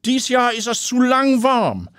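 A gossiping older woman, as a male narrator puts her on. She talks in a high, drawn-out way with a husky edge. The recording is dry and close. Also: wildly swooping pitch, a bit bright, no echo, heavily acted. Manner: chatty, drawling.

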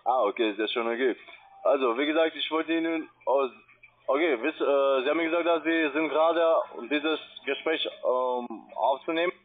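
A non-native man with a foreign accent, working as a call centre agent, giving halting instructions. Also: a little high. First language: not German. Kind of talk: phone support talk. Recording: tinny phone line.

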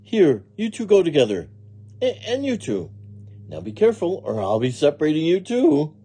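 A man's voice: fairly high pitch, wildly swooping pitch, normal speed, mellow, breathy edge, voice pushed up, heavily acted. A man voicing a cheerful, literal-minded woman housekeeper in a children's story, with folksy, earnest phrasing. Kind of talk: children's storybook character reading.

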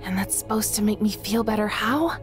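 A woman's voice: medium pitch, normal speed, music in the background, close to an everyday voice. A woman voicing a hot-headed, self-doubting young female echidna guardian in a comic dub. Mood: dejected.

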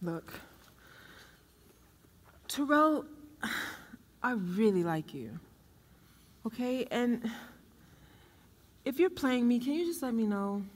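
A woman's voice: fairly high pitch, animated, slow, long pauses. A woman playing a giddy, clingy, lovestruck young woman in a comedic stage play, gushing breathlessly and melodramatically. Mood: subdued, hurt. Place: theatre stage, indoors. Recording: noisy stage recording from far off.

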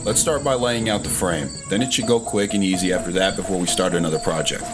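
An actor playing a bossy, hard-working, no-nonsense brother in an audio drama. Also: male voice, low-pitched, fast, loud music behind the voice, close to an everyday voice. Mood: brisk.

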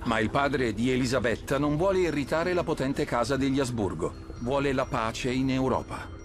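A man narrating a history documentary in a measured, dramatic voice-over. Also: mid-range voice, animated. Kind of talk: scripted narration. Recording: voice-over with music underneath.

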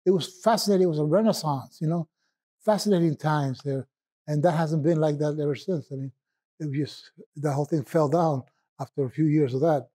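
A man with a Puerto Rican accent, a poet telling stories in a rambling, reminiscing way with filler pauses. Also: on the high side, dark tone. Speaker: poet guest. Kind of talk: relaxed conversational storytelling.

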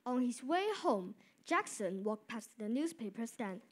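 A girl narrates a school radio drama in a storytelling manner. Her voice is high, and the pitch moves animatedly through each line. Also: expressive, measured pace.

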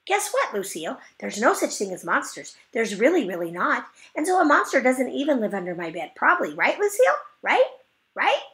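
A woman voicing a bossy, dramatic little kindergarten girl with a high, shrill, insistent voice. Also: very animated, brisk, few pauses, fairly bright tone. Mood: pleading, insistent.

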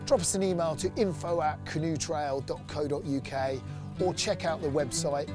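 Enthusiastic forty-something man, fairly high voice, lapel mic outdoors, faint music under him. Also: normal speed. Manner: enthusiastic, upbeat. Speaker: outdoor activity company director. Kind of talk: promotional interview. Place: outdoors in a sunny woodland clearing.

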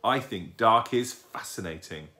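A man plays a sweet young lady from a children's story. She is kind and polite, speaking gently with lively intonation. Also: on the low side, a little slow. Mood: friendly, explaining.

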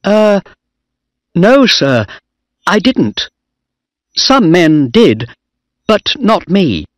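A frightened young naval officer stammers out his answers in a raised, earnest voice, as a male narrator puts him on. Each reply is measured and unhurried, with a very dry, close sound. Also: high-pitched, sing-song, dry recording, voice pushed up, heavily acted. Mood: nervous. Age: young adult. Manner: earnest, hesitant.

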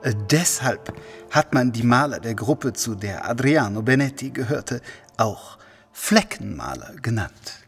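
A man acting a smug, callous wealthy gentleman in a German audio drama, with affected, mock-courteous theatrical delivery. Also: medium pitch, lively intonation, a little slow, creaky, slightly rough, big-room sound, soft background music, exaggerated voice. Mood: condescending explaining.

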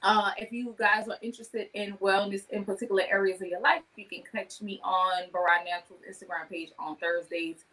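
A woman's voice: mid-range voice, steady tone, a little fast, hardly any pauses, pushed and bright, low-quality recording. A woman in her thirties chatting casually as a guest on a video call, talking about her own work. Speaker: guest, wellness business owner. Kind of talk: casual chat with self-promotion. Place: indoor room on a video call.

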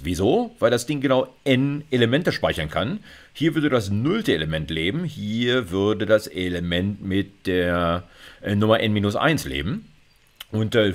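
A middle-aged man lectures in a conversational, explanatory way. His voice sits on the low side, with highly varied, animated intonation. He often checks in with short tags as he walks through the material. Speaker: university lecturer. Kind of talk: informal explanatory lecture over slides.

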